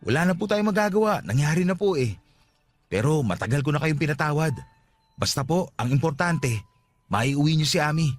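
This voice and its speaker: A man's voice: fairly high pitch, lively intonation, fairly quick, soft background music. An actor playing a patient, regretful young father with a Filipino accent, earnest and respectful, in a radio drama. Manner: soft-hearted, earnest, respectful.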